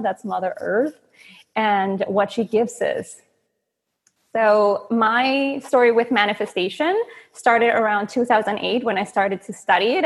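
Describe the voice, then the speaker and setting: A woman's voice: medium pitch, long reverb tail. A woman from Venezuela in her thirties, a coach telling her story earnestly in a podcast interview. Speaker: guest, manifestation coach.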